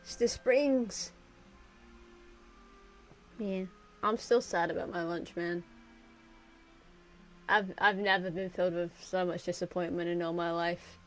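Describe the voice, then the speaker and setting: Woman streamer, casual commentary, slow and giggly, on a boom mic with faint noise. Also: steady tone, rough recording. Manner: chatty and giggly, with restarts. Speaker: game streamer. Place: home streaming setup. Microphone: desk mic on boom arm.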